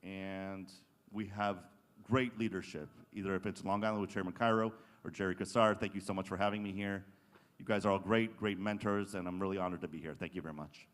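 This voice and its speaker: Deep-voiced man speaking in an echoey hall. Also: fairly even intonation, fairly quick. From Israel. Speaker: state assembly member, panel speaker. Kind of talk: semi-formal political speech to an audience. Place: large indoor conference session room. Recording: echoey hall with long reverb.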